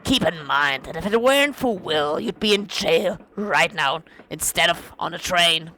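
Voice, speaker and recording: A hoarse woman voicing a dry, reproachful companion in a game, close to her own voice. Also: lowish voice, animated, unhurried, bright, forceful tone, a little hiss or hum.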